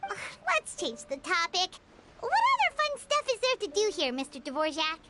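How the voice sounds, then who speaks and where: A woman voicing a tiny, excitable fairy companion girl in a video game, childlike, bossy and cheeky. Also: extremely high pitch, normal speed, heavy background noise, heavily acted. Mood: indignant. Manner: childlike, exclamatory, speaks of self in third person.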